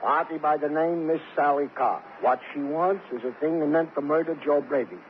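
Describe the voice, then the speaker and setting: Man acting a fussy high-voiced old-radio police sergeant. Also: moderate pace, slight background noise, lightly acted. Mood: aggrieved, smitten. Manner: florid, mangled formal phrasing, aggrieved. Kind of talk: 1950s radio comic-relief character acting. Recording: thin, phone-like old radio recording.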